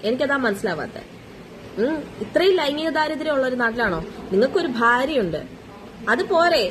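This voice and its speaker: A woman talks as a commentary vlogger. She is exasperated and moralizing, piling up rhetorical questions. The amateur recording carries clear noise. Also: high voice, animated, fairly quick. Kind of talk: casual opinion commentary on gossip.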